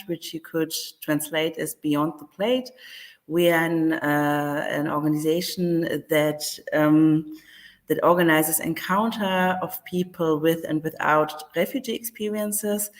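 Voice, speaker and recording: Low-voiced upbeat woman. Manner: friendly, upbeat, hesitant fillers. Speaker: project leader at a nonprofit. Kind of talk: panel self-introduction.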